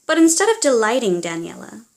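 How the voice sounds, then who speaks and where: A woman reading a children's storybook aloud in a theatrical, expressive way, as if speaking directly to young listeners. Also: fairly high pitch, fairly quick. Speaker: children's audiobook reader.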